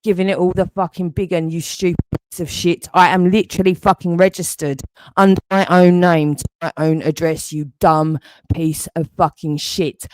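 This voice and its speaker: A man's voice: fairly high pitch, fairly even intonation, normal speed, no echo, low-quality recording. A British man in an angry, threatening rant, swearing heavily. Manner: furious, threatening, heavy swearing.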